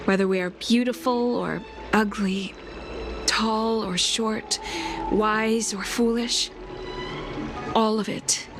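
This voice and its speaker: An actress voicing a stoic, quietly bitter blind woman alchemist in a video game, with measured, formal lines and deliberate pauses. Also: medium pitch, lightly acted. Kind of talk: video game story dialogue.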